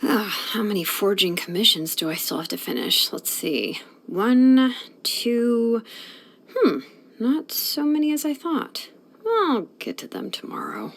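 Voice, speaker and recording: An actress playing a sleepy, laid-back young woman blacksmith in a fantasy video game, speaking in a casual, unhurried way. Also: a little high, big pitch swings, a bit bright, full character voice. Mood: relaxed, casual. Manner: unhurried, casual, hesitant drawl with sighs. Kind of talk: English video game voice acting.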